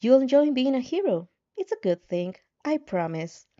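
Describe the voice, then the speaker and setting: A woman voicing a calm, controlling game-like system guide in a fan fiction reading, patient and reassuring with a menacing edge. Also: high-pitched, moderate pace, muffled recording. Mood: pacifying.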